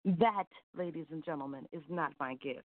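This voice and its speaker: A woman's voice: low-pitched, moderate pace, dry recording. A woman calling in as a poet, bantering casually in a wry, theatrical way with emphatic repetition. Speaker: caller and poet. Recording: narrow, dry phone line.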